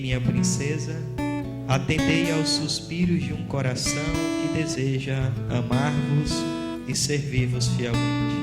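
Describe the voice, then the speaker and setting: Brazilian preacher, 30s, fervent and earnest, loud guitar playing under him, long hall echo. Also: male voice, mid-range voice. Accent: Brazilian Portuguese. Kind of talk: prayer and live sermon. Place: large hall at a religious gathering. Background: loud guitar music.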